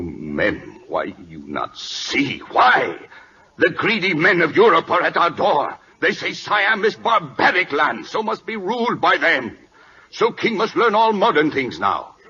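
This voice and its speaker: Intense, proud minister. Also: male voice, a little high, sing-song, a little slow, bright, forceful tone, heavily acted. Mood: impassioned. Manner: formal, pidgin-English phrasing. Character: earnest, proud Siamese prime minister, loyal man. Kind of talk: 1940s radio drama acting. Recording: dull old radio recording.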